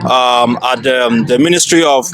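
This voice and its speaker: A man answering street interview questions hesitantly, with many pauses and fillers. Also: mid-range voice, crowd noise. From Ghana. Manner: hesitant, many ums, laughs shyly. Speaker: interviewee, HR administrator. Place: city street outdoors.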